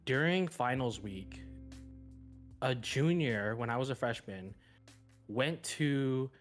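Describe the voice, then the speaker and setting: A young man whose first language is Vietnamese talks loosely through childhood stories, stumbling and restarting as he goes. His voice sits at an ordinary middle pitch. Faint guitar music plays underneath. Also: animated, slow. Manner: rambling, many restarts and fillers, laughs at himself. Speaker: podcast guest, streamer. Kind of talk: casual life-story chat.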